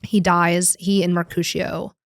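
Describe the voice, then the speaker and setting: A young woman with a New York accent talks in a low, deep voice, candid and quick with self-deprecating asides. The sound is dry and close, with no room around her. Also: little pitch movement, no echo. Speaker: stand-up comedian guest. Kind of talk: casual podcast chat.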